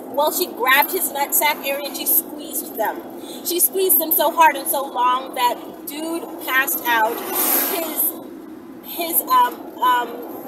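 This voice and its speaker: A woman in her thirties tells stories in a casual, blunt, slangy way, chatting to camera as a vlogger. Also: high voice. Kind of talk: casual storytelling chat to camera. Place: inside a moving car. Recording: distant mic, loud vehicle noise. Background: loud road and vehicle noise.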